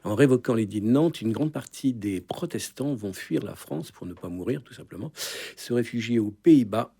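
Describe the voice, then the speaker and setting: A man with a low, husky, mellow voice chats on a radio show. He wanders into side stories and searches aloud for his words, speaking from a studio. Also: animated, normal speed. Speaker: radio co-presenter. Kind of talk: casual radio chat.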